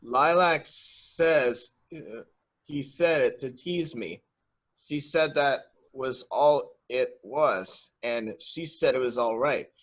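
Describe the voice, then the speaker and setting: Casting: male actor as naive, excitable childlike young man for a mystery radio play, medium register, rambling innocence. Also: mid-range voice, slow. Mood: anxious, naive. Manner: guileless, rambling, repetitive. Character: childlike, simple, excitable young man fearing being locked up. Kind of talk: dramatic reading of murder mystery.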